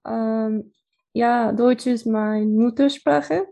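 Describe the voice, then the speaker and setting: A woman's voice: a little high, fairly even intonation, very slow, creaky, mellow. A woman with a Mexican accent, a native Spanish speaker, chatting casually and hesitantly as she searches for words. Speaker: interviewee. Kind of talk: casual chat.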